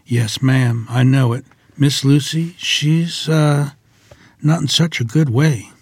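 A man's voice: mid-range voice, fairly even intonation, takes it slowly, husky, no echo. A man playing a courteous, devout rural piano tuner in a period table read, deferential and folksy. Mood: gentle, somber. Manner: deferential, folksy, gently persuasive.

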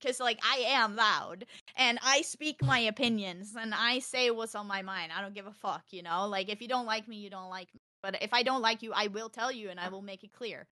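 A young woman from Texas chatting on a podcast, loud and animated, telling stories fast with a close mic. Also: medium pitch, dry recording. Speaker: podcast guest, streamer. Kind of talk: casual podcast chat.

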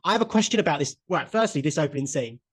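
A man's voice: on the high side, lively intonation, racing. A man co-hosting a podcast, chatting casually with strong opinions and the odd swear word. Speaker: podcast co-host. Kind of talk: casual chat.

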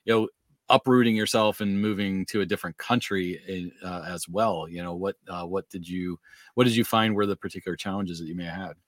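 Male host, very deep voice, hesitant and roundabout, dry close mic. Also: fairly even intonation, fairly quick, no room sound. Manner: hesitant, many fillers and restarts. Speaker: podcast host. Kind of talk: interview questions.

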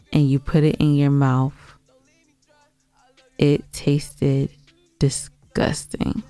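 A woman's voice: sits low, warm tone, smooth, no echo. An American woman co-hosting a podcast, chatting casually in an emphatic, argumentative way and dramatizing her complaints. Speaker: podcast co-host. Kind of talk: casual, bantering podcast chat.

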